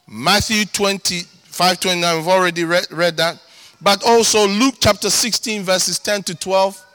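A man with a Ghanaian accent preaching a sermon as pastor, animated, breaking into shouts and sing-song emphasis. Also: sits high, normal speed.

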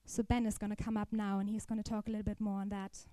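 A woman's voice: medium pitch, little pitch movement, quick pace, mellow, dry recording. A young woman giving a careful, explanatory sermon to a church congregation, occasionally restarting her words. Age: young adult. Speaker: student speaker at a church service.

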